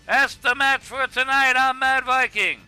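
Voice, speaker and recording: A man playing an excitable cartoon wrestling commentator, with music behind him. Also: very high voice, unhurried, smooth, heavily acted. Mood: wrapping up.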